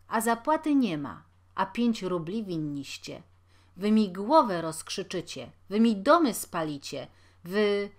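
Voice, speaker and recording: A woman's voice: mid-range voice, lightly acted. A woman voicing an elderly male landlord who mutters and grumbles indignantly.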